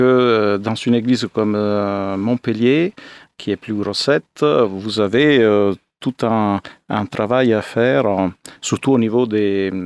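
A man's voice: medium pitch, no room sound. A 57-year-old man from Italy speaking as a pastor in a radio interview, often hesitating as he searches for words.

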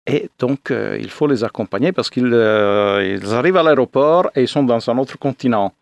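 A man's voice: mid-range voice, lively intonation, normal speed, gravelly, no echo. A 57-year-old man from Italy speaking as a pastor in a radio interview, often hesitating as he searches for words.

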